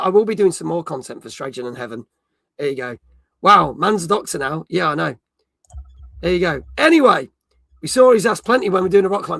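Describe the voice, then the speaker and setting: Croaky middle-aged man hosting. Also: fairly high pitch, sharp tone, no echo. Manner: cheeky, chatty banter. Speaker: livestream host. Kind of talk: casual live-stream chat, reading chat comments.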